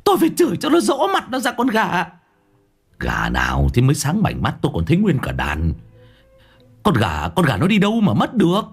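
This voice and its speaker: A man's voice: fairly high pitch, wildly swooping pitch, brisk, vocal fry, husky, faint music behind, full character voice. A shrieking older village wife spits out curse after curse. Her voice sits far above his own and swings wildly up and down, with a rhythmic, chanting flow to the abuse. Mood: indignant. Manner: long rhythmic cursing tirade, shrieking. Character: shrill older village wife cursing a chicken thief.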